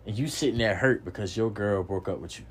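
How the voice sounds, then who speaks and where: A man's voice: fairly low pitch, quick pace, slightly rough. A young man hosting a podcast, giving a preachy motivational monologue, repeating himself and swearing casually. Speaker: podcast host.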